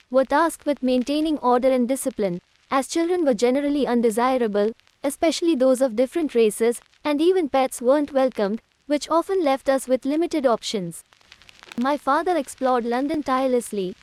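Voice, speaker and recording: An older woman from India recounts her early years in a calm, measured way. Her wording is formal and careful, and her voice sits high. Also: low-quality recording. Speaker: interviewee recalling migration. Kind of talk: recorded personal recollection.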